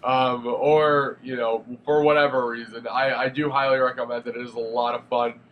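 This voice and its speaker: A young man with a cold talks through a game review in a loose, rambling way, voice stuffy and nasal from congestion. He sits at a middle pitch, stumbling through fillers and false starts. Faint noise hums under the recording. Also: level tone, normal speed, lo-fi sound. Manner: rambling, frequent fillers and restarts. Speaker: gaming video reviewer. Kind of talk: casual spoken game review.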